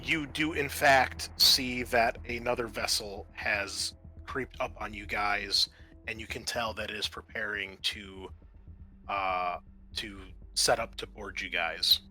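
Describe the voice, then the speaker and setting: A man narrating a tabletop roleplaying game as its game master, halting as he thinks aloud. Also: fairly low pitch, fairly even intonation, sharp tone, faint music behind, poor audio.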